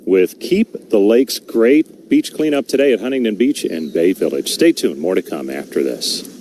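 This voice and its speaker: Radio sports host, mellow tone, easy conversational delivery. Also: male voice, on the low side, animated, moderate pace, slight background noise. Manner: conversational questions, some restarts and fillers. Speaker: radio pregame show host. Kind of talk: radio interview and show hosting.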